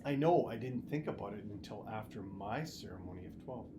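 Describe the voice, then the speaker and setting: A storybook reader playing a caring, practical mother who gives measured, earnest advice to her child. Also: medium pitch, animated, noisy background, natural voice. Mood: advising.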